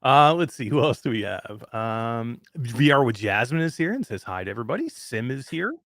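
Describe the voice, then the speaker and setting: A man of fifty hosting a live show in casual chat, reading out greetings with excitement. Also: medium pitch, moderate pace, no room sound, studio quality. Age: 50s. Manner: excited, animated chat shout-outs. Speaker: host.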